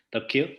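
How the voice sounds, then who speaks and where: A man with an Indonesian accent giving casual interview answers in halting English, often repeating words as he goes. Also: a bit bright. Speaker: interview guest, theater researcher and actor.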